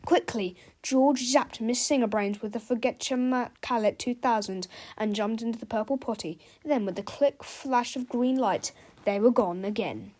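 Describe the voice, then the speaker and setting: A woman's voice: on the high side, normal speed, a little mellow. A woman narrating a children's storybook as a read-aloud.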